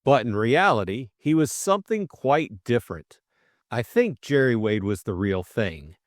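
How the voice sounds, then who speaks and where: A man voicing a breezy, excitable young English gentleman sleuth in an audiobook, full of upper-class slang and exclamations. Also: medium pitch, measured pace, no echo, studio quality. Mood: shrewd, earnest.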